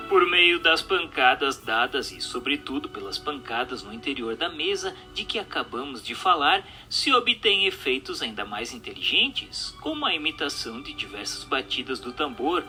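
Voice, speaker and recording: A man with a Brazilian accent reads scripted, didactic narration aloud in a formal, declamatory manner with measured phrasing. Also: a little high, pushed and bright. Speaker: narrator reading a book aloud.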